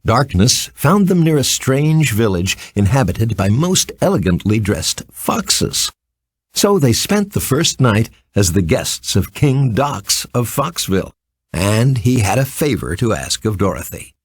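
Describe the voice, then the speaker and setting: A man reading a children's story as an expressive scripted narration. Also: medium pitch, measured pace, breathy edge, no room sound.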